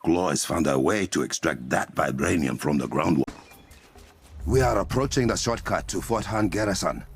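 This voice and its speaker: Deep-voiced regal warrior king, gravelly. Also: lively intonation. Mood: dry, teasing. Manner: formal, commanding, measured. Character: proud, regal adult male warrior king, protective and menacing. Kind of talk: dramatic video game acting.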